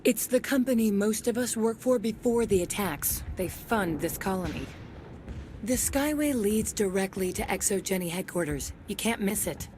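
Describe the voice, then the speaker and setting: An actress playing a jaded, resentful security officer in a video game, terse and sardonic. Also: female voice, mid-range voice, lively intonation, normal speed, a little mellow, slight background noise, natural voice. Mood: bitter, guarded.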